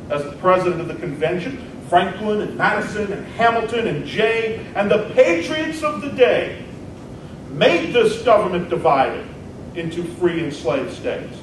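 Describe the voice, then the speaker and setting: A man playing a combative 19th-century American senator, delivering a dramatic debate speech in an emphatic, deliberate oratorical manner. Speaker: performer in a historical dramatic reading. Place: large echoey indoor hall, recorded from afar. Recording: very echoey hall, distant mic, rumbling noise.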